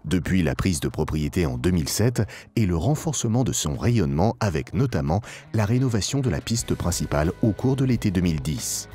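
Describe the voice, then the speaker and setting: A man with a low voice narrates a polished institutional film. His intonation moves widely while the delivery stays formal and measured, stressing key phrases. Light music plays behind him. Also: big pitch swings, dark tone. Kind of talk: scripted promotional documentary narration. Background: background music.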